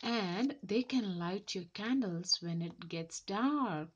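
A boastful storybook salesman, read by a woman, calls out his patter with big swings in pitch. The delivery is unhurried and the recording slightly dull. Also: expressive, slightly rough. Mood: showy, eager. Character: loud showy male travelling salesman of magic sticks.

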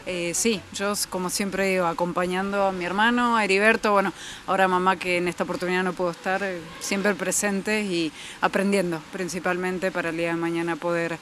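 A woman's voice: on the low side, fairly bright tone. A young woman with an Argentine accent giving spontaneous answers in an interview. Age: young adult. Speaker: family farm interviewee. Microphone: handheld microphone.

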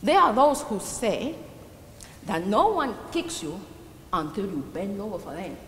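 A woman's voice: mid-range voice, big pitch swings, a little slow. A woman performing a theatrical monologue as an old, proud and sorrowful Dominican immigrant widow with a Dominican Spanish accent, confiding her story hesitantly with emphatic swells. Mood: indignant, bitter. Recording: roomy reverberant hall with background noise.

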